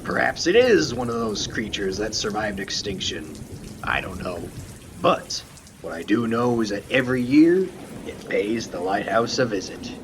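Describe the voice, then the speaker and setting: Guy playing calm mysterious lighthouse keeper, gravelly fry, deliberate pauses, stormy rain noise, radio play. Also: on the low side. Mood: calm, reflective. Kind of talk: student radio play acting. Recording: rain and thunder noise behind. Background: rain and thunder.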